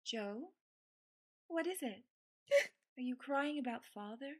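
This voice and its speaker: Actress as a gentle young sister, high-pitched, warm and earnest. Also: female voice, expressive, slow, full character voice. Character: gentle eldest sister, Meg.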